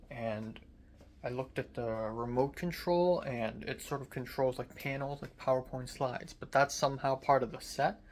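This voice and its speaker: Young male vlogger, American accent, grew up in Hong Kong; loose, rambling diary talk with fillers and swearing. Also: mid-range voice. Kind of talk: casual video diary.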